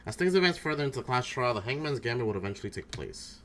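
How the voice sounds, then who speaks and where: A man's voice: mid-range voice, lively intonation, a bit bright, slight background noise. A man doing brash, sweary, casual commentary as he plays and reads out a game. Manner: brash, sweary, quick to laugh. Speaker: game streamer reading a character aloud.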